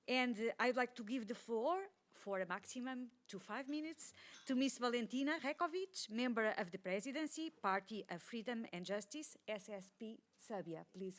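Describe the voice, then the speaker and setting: A woman moderating a conference session, introducing speakers in a formal way. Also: fairly high pitch.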